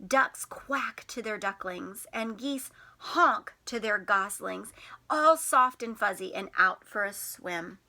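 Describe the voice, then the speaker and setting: A woman teacher reading a picture book to young children in an expressive, sing-song way, putting questions to them. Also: mid-range voice, slow. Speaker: teacher and storytime host.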